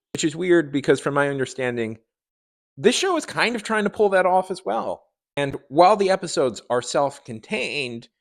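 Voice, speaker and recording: A man giving a conversational, opinionated review in a wry, animated manner. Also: on the high side. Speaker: video reviewer. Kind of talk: conversational review commentary to viewers.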